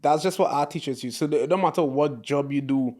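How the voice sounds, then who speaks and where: A man hosting a casual podcast, his voice sitting somewhat high for a man. He keeps the talk moving with easy questions and quick affirmations. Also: a little mellow. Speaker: podcast host. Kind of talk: casual interview chat.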